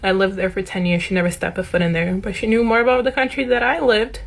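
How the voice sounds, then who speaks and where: A woman's voice: mid-range voice, little pitch movement, fast talker, vocal fry. A woman from Brazil telling a personal story in an earnest, indignant tone. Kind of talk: casual anecdote.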